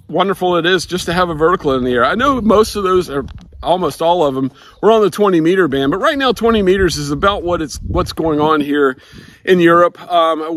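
A man's voice: on the high side, a bit bright. An older American man talking casually as he hosts an outdoor hobby vlog. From United States. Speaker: amateur radio operator and video host. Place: outdoors in a backyard garden. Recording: outdoor recording with clear background noise.

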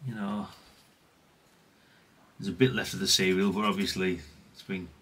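A man's voice: sits low, flat delivery, normal speed, fairly bright tone, husky. A middle-aged British man giving casual, unscripted workbench commentary in a rambling, chatty, plain-spoken way. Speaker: hobbyist radio repair vlogger.

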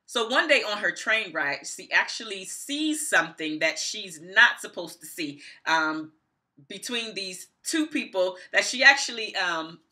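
A woman's voice: medium pitch, lively intonation, a little slow, sharp tone. A middle-aged woman giving a casual review to camera, stressing her points emphatically and repeating phrases. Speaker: book reviewer, vlogger. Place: small indoor room at home.

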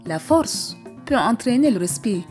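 A woman storyteller reading a scripted African folktale for an animated story. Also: on the high side, a little slow. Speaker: storyteller, narrator. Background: music.

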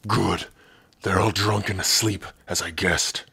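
A man playing a big, gruff orc with a rough, husky voice, teasing yet secretly soft-hearted, in an ASMR roleplay. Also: deep voice, fairly even intonation, measured pace, no room sound, heavily acted. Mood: gruff, commanding. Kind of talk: ASMR roleplay addressed to the listener.

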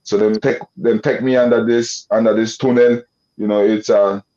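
A man's voice: lowish voice, little pitch movement, normal speed, warm tone. Creole-accented man on a lo-fi video call. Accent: Nicaraguan Creole English. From Nicaragua. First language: Creole English. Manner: loose rambling with many restarts and "you know" fillers. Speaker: guest. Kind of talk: casual video-call interview. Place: indoor room. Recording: dull lo-fi video call audio.

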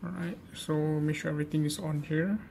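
A man with a fairly high, gravelly voice walks through an informal how-to guide. He repeats himself hesitantly as he instructs, and there is clear noise in the amateur recording. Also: steady tone, brisk, warm tone, low-quality recording. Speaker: presenter of a gadget how-to guide. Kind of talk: informal step-by-step tutorial narration.

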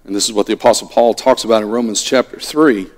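A middle-aged man preaching a sermon from a pulpit, swinging between loud animated lines and hushed ones. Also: fairly low pitch, fast. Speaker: pastor. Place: church sanctuary at a pulpit. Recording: long reverb of a church hall.

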